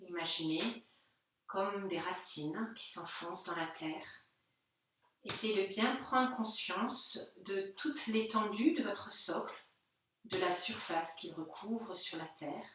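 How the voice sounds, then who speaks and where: A woman teaching yoga, guiding a relaxation session gently and unhurriedly in short phrases with pauses. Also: medium pitch, a little hiss or hum. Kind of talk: guided Yoga Nidra relaxation instruction. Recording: very echoey room, distant microphone.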